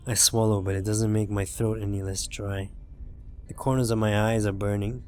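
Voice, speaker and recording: A man voicing a weary, frightened driver in a zombie visual novel, reading first-person lines in a subdued, halting, brooding way. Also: fairly low pitch, little pitch movement, darkish tone, natural voice. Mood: despairing. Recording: music behind the voice.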